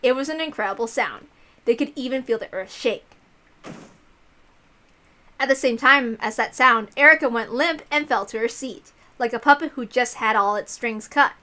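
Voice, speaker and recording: A woman's voice: a little high, moderate pace, bright, forceful tone. A woman reading a visual novel aloud as a narrator, telling the story dramatically. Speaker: story reader.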